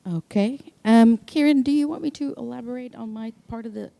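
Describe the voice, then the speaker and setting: Gravelly woman in a hall. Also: a little high, brisk, mellow. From Netherlands. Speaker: learning designer, panelist. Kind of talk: self-introduction on a panel. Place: conference hall, seated on a sofa. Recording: reverberant conference hall.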